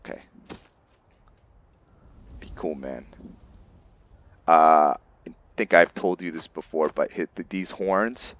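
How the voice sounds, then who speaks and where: A man's voice: deep voice, takes it slowly, pauses often, a bit bright, muffled recording. A man giving casual game commentary to viewers, rambling as he thinks aloud and explains things. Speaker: gameplay video creator, player. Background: music.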